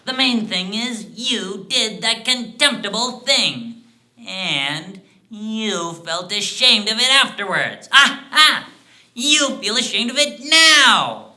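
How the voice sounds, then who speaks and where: A man's voice: sits high, slow, sharp tone, voice pushed up, exaggerated voice, rough recording. A man voicing a tiny shabby dwarf, the mocking, cackling conscience of a narrator, in a dramatic story performance. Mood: taunting. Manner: leering, taunting, cackling. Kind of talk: dramatic solo short-story interpretation.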